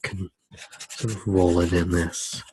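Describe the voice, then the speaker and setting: A soft-spoken man narrating an ASMR drawing demonstration in an unhurried, hesitant way. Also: low-pitched, level tone, a little hiss or hum. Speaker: ASMR artist, host.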